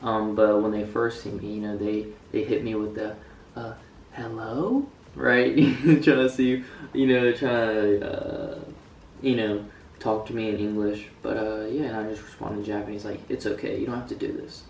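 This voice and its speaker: A man's voice: fairly low pitch, flat delivery, normal speed, a little mellow, a little hiss or hum. Rambling young man, echoey room. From United States. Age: young adult. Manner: rambling, many fillers and restarts. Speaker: student vlogger. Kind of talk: casual vlog talk. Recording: echoey room, mic a bit far.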